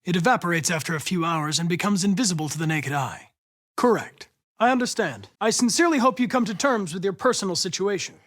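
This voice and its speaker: A man's voice: sits high, expressive, fast talker, a bit bright. An American man playing a polite, analytical young android detective in a video game, earnest and determined, with a precise, formal delivery. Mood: calm, formal.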